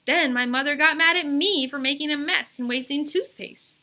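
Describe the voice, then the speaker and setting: A woman's voice: sits high, moderate pace, bright, forceful tone, pitched above the performer's own voice. A woman voicing an eager, indignant little schoolgirl in a storybook, exclaiming and complaining plaintively. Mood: aggrieved complaining.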